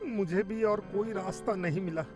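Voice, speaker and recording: A man playing an anxious, fretful village orchard owner in animated story dialogue. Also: sits high, normal speed, lightly acted. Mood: worried. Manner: fretful, pleading. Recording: loud music behind the voice.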